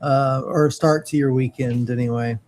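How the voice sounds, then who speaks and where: A man chatting casually on a podcast, talking in a halting way with lots of pauses and restarts. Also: mid-range voice, fairly even intonation, a little mellow, a little hiss or hum. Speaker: co-host.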